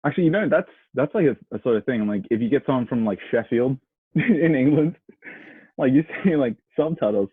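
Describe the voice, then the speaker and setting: A young Australian man chats as a podcast co-host, blunt and sweary. His voice is low and husky. It comes through dry and narrow, like a phone line. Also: expressive, a little fast, no echo. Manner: blunt, opinionated. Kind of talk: casual, sweary banter between mates. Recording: muffled phone-like radio sound.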